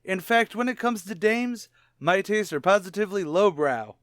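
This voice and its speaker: An actor playing an urbane, bookish male guest lecturer with a polite, measured manner in old-time radio comedy. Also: sits high, lively intonation, no echo, studio quality. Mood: dry, urbane. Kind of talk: 1940s radio comedy acting.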